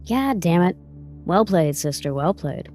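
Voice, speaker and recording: A woman's voice: fairly low pitch, lively intonation, measured pace, dark tone, soft background music. A woman playing a wry, world-weary character with a hidden kind streak in an audio drama, offhand and sarcastic. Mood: sardonic. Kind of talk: dark superhero audio drama acting.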